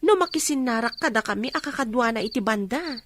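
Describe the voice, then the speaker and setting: A woman's voice: on the high side, expressive, fast, a bit bright, a little hiss or hum. An actress playing a concerned, questioning young woman, a friend, in an Ilocano radio drama. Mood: reassuring. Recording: faint crickets behind.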